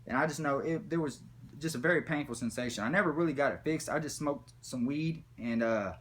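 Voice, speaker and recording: A man telling a comic personal story in a rambling, slangy, profane way with animated retelling. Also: on the high side, fairly quick. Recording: background noise, room ambience.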